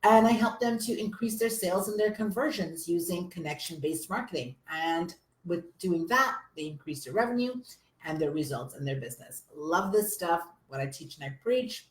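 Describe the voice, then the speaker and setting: Hoarse woman coach streaming live. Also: on the low side, normal speed, roomy sound, low-quality recording. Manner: energetic, chatty, addresses viewers as you guys. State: hoarse from a summer cold. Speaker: business coach. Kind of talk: live stream teaching to viewers.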